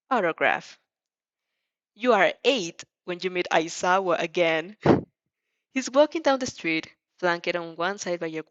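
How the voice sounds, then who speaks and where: A woman's voice: fairly low pitch, fairly bright tone, dry recording, highs cut off. A woman reading a fan fiction story aloud with casual asides, giggly and often stumbling over her words.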